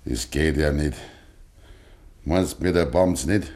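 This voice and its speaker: An actor playing a stubborn, grieving old farmer with a Bavarian accent and quiet tenderness in a 1950s dialect radio play. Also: male voice, very deep voice, slow. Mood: quiet, confiding. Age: older. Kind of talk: 1950s German dialect radio drama acting.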